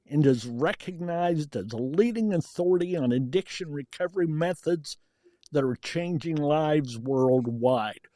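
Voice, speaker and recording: A man's voice: fairly high pitch, dark tone, no echo. A man hosting a podcast, giving introductions and asking interview questions. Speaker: podcast host.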